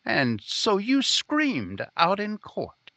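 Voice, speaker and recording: A man's voice: high voice, wildly swooping pitch, drawn-out words, creaky, pushed and bright, dry recording, exaggerated voice. A man playing a crusading, hard-nosed newspaper reporter in a 1950s-style radio drama, with emphatic, punchy delivery. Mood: excited, accusing.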